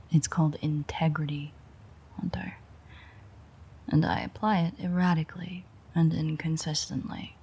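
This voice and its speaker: A woman playing a sardonic, teasing, world-weary female vampire in an intimate audio roleplay, with a breathy, husky, whispery voice and a dry manner. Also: sits low, mellow, heavily acted. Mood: sarcastic, teasing.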